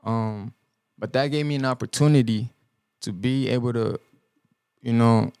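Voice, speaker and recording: A young man born in El Salvador and raised in the US Midwest, a guest musician giving earnest, halting answers on a podcast, full of ums and restarts. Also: mid-range voice, a little mellow, clean tone, no echo, studio quality. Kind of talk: reflective podcast interview answers. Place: podcast studio.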